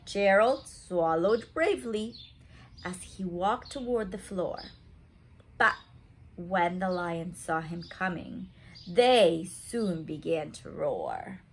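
A woman's voice: on the low side, very animated, slow pace, a little hiss or hum. A woman in her thirties reading stories aloud to young children, adding chatty asides and simple questions for her little listeners. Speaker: story time reader for children. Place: home living room, indoors.

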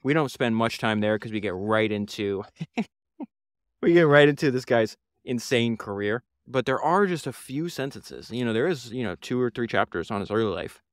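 Earnest man, smooth dry voice. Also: medium pitch, animated, normal speed, no room sound. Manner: earnest, rambling, many fillers and restarts. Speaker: podcast host. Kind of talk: solo podcast book review.

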